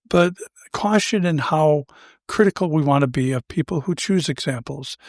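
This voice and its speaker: An older man tells a story with a fairly high-pitched voice. His delivery is anecdotal and self-deprecating, with the odd chuckle. The sound is dry and close, with no room echo. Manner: anecdotal, self-deprecating, laughs while telling stories. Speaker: podcast co-host. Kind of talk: conversational storytelling.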